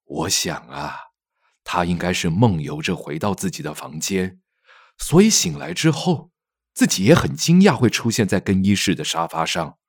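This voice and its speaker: A man playing a reassuring, talkative middle-aged father in an audio drama, explaining things in an expressive, fatherly way. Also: low voice, normal speed, vocal fry, husky, no room sound, studio quality, heavily acted.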